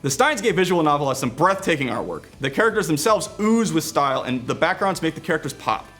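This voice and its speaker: Higher-pitched, lively male game reviewer. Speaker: video game reviewer and show presenter. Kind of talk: scripted review narration with casual asides.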